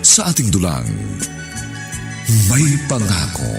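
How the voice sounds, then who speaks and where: A man's voice: deep voice, huge pitch swings, music in the background. Dramatic, sweeping Filipino male radio announcer. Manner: theatrical, drawn-out delivery. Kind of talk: dramatic scripted radio introduction.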